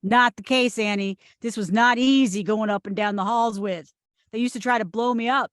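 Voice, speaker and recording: A woman in a comedic script read, playing a blunt, pushy, oddball friend with swaggering confidence and emphatic, deadpan delivery. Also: a little high, no echo, studio quality, close to an everyday voice. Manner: emphatic, forceful, deadpan boasting. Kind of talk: screenplay table read, comedic acting.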